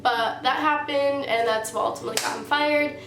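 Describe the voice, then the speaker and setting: A late-teen girl with a slightly high voice tells a casual storytime to her viewers, sounding stuffed up from a sinus cold. She chats loosely and fills her sentences with "like." The room around her adds a noticeable echo. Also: steady tone, moderate pace. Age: late teens. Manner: chatty, heavy use of "like". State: stuffy nose from a sinus infection. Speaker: YouTuber. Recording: roomy echo.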